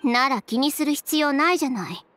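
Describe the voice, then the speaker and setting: A woman's voice: high voice, animated, a bit bright. A woman voicing a proud, cool-headed young fashion designer who speaks bluntly and with self-assurance in an anime game. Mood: dismissive. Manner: direct, brisk, self-assured. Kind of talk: Japanese anime game voice acting.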